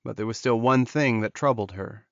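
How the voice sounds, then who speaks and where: A man's voice: medium pitch, moderate pace, no echo, lo-fi sound. A man narrating romance fiction as an audiobook, in a dramatic scripted style.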